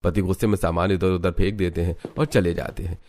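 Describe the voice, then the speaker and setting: A man's voice: low voice, steady tone, brisk, a little mellow, natural voice. A man narrating a scripted cartoon family story as the storyteller.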